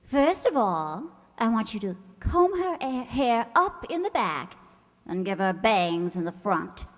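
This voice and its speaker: A woman's voice: on the high side, big pitch swings, measured pace, in a large hall. A woman playing a scheming, haughty schoolteacher rival, sly and condescending with false sweetness, in old-time radio comedy style.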